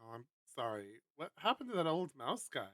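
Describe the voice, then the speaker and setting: A man streamer reading a visual novel aloud and adding chatty, animated asides. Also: a little high, a little fast, no echo, clean studio sound.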